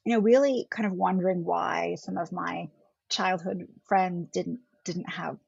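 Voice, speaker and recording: A middle-aged woman whose first language is German, speaking as a political scientist guest on a podcast in a thoughtful, measured way. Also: on the low side, steady tone, gravelly, darkish tone, breathy edge, dry recording. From India. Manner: thoughtful, measured, often rephrasing. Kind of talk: podcast interview. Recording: dull lo-fi podcast audio.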